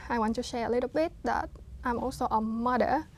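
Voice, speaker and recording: A woman in her thirties from Vietnam, speaking English as an as an interview guest, hesitant with frequent fillers and soft laughs. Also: a little high, brisk, a little hiss or hum. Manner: hesitant, many fillers and restarts, laughs softly. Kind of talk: casual interview talk.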